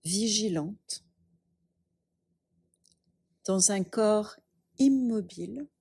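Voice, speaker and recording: Slow, breathy woman guiding meditation. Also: mid-range voice, no echo. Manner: calm, unhurried, instructing in short phrases with long pauses. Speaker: yoga nidra teacher. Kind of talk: guided meditation.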